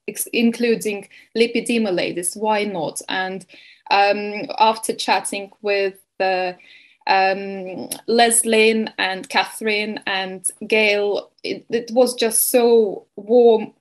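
Slow, halting woman from Estonia. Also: medium pitch. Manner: hesitant, many fillers and restarts. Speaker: keto coach and nutrition advisor. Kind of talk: webinar interview.

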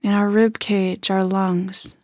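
A woman leading a guided body scan meditation in a gentle, unhurried manner with soft phrase endings. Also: medium pitch, level tone, dry recording, clean studio sound.

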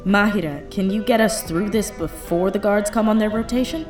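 A woman playing a brisk, sardonic hacker leading a heist in an audio drama, blunt and casual in delivery. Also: mid-range voice, darkish tone, close to an everyday voice. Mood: brisk, businesslike. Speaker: voice actor in a fiction podcast. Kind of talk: naturalistic audio drama acting. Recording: roomy echo, faint music underneath.

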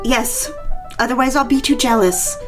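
An actress playing a yearning, insecure mistress in a melodramatic audio drama, intimate and confiding in manner. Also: female voice, a little high, moderate pace, music in the background, lightly acted. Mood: jealous, needy. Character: yearning, jealous, insecure mistress narrating her affair. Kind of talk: melodramatic audio drama with first-person narration.

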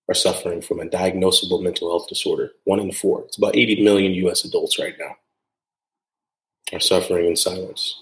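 A man speaks in a deep, level voice with little rise and fall, hosting his own podcast. He talks earnestly, circling back over his phrases and tagging them with "right?". The room around him sounds echoey. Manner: earnest, repeats phrases for emphasis; frequent tag "right?". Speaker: podcast host. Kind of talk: solo podcast monologue.

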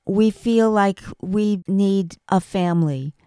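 A middle-aged woman co-hosting a podcast, talking to listeners in an earnest, gentle, conversational way. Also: medium pitch, takes it slowly, smooth, no echo, studio quality. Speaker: podcast co-host and ministry performer.

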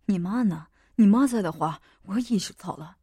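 A woman's voice: medium pitch, expressive, moderate pace, warm tone, slightly rough, no echo, studio quality, pitched below the performer's own voice. A woman voicing a brash, domineering man in an audiobook, with a blunt, commanding manner.

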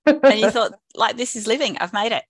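A woman's voice: a little high, expressive, fairly quick, no echo. A woman hosting a podcast, reading a guest introduction and asking enthusiastic, upbeat follow-up questions. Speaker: podcast host. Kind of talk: interview hosting.

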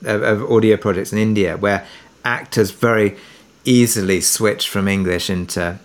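A man with a slightly low voice answers as an interview guest. He talks reflectively and conversationally, feeling his way through each thought with some hesitation. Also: fairly even intonation, normal speed. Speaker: interview guest, audio drama producer.

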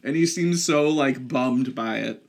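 A man co-hosting a casual podcast, talking with enthusiasm and acting out lines in a lively way. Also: mid-range voice, unhurried, creaky. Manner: enthusiastic, acts out quoted lines. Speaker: podcast co-host.

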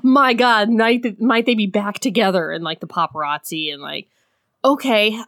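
A woman's voice: mid-range voice, normal speed, fairly bright tone, no echo. Woman co-hosting a book podcast, laughs a lot, dry close sound. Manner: chatty, laughs often, critical. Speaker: podcast co-host. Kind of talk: casual book discussion.

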